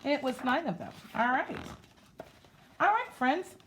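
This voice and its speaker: A woman hosting a children's storytime, reading aloud in an expressive, sing-song way and speaking to her listeners as friends. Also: high voice, a little slow, background noise. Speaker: storytime host reading a picture book aloud. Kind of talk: children's read-aloud with rhythmic sound effects and chatty asides.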